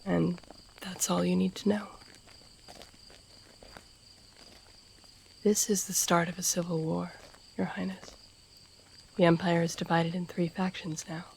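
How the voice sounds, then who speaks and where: A woman playing a stern, loyal, guarded masked assassin in a fantasy audio roleplay, speaking gravely and formally, often in a hushed breath. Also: fairly low pitch, flat delivery, moderate pace. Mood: resolute. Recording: noisy outdoor night ambience with crickets. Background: crickets and night insects.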